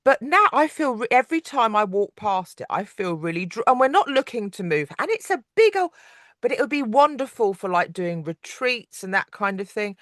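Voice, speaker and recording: A woman's voice: on the high side, sing-song, normal speed, no room sound, clean studio sound. A middle-aged woman hosting a casual podcast interview, chatty and rambling, often trailing off mid-thought. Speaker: podcast host.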